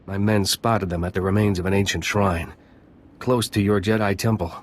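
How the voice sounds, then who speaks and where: An actor playing a serious commander of scouts in a video game, formal and matter-of-fact. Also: male voice, lowish voice, steady tone, normal speed, natural voice. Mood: grave report.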